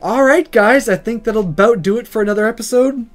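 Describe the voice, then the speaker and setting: A man hosting a let's play, reading a visual novel aloud dramatically and commenting on it in an expressive, theatrical way. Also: very high-pitched, brisk.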